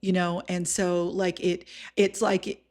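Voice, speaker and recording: A woman's voice: fairly low pitch, little pitch movement, unhurried, a little mellow. A woman hosting a podcast, talking in a reflective, conversational way with easy laughs. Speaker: podcast host.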